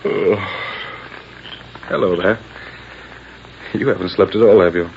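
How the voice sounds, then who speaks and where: A man's voice: low voice, slow. An actor playing an eager, polite Englishman in a 1940s radio western, always questioning. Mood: quiet, anxious. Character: eager, questioning young Englishman riding with the hero. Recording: muffled 1940s radio recording, faint noise.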